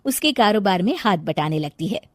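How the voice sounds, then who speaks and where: A woman's voice: mid-range voice, expressive, brisk, no echo, clean studio sound. A woman narrating a scripted story as its storyteller.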